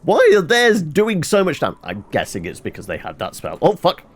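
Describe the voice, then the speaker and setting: A man's voice: fairly high pitch, huge pitch swings, brisk, a little hiss or hum. A man giving casual video game commentary, rambling and thinking aloud to himself. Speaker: gameplay streamer.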